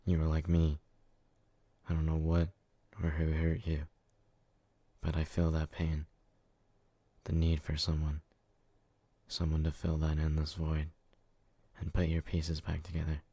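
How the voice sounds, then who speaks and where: Man acting very deep, monotone brooding yandere. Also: measured pace, long pauses, dry recording, full character voice. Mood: brooding intensity. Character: brooding, devoted yandere man, hot-headed but tender. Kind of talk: ASMR roleplay acting.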